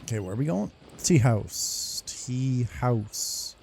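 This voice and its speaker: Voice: mid-range voice, expressive, slow pace, vocal fry, darkish tone, a little hiss or hum. A game streamer giving casual playthrough commentary.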